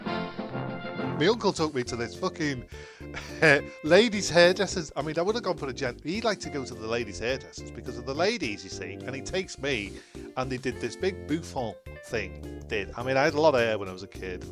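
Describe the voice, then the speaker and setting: A man's voice: medium pitch, lightly acted. A man playing a grumpy, flustered castaway in an improvised comedy skit, rambling and swearing as he stumbles over his words. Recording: amateur audio with music behind.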